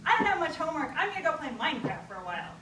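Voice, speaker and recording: A woman's voice: high-pitched, sing-song, very fast, pitched above the performer's own voice. A woman voicing a scatterbrained, confident schoolboy who calls out excuses to his mom while telling an essay. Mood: breezy, defensive. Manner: loud, hesitant excuse-making and breezy announcing. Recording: echoey, distant live recording with loud noise.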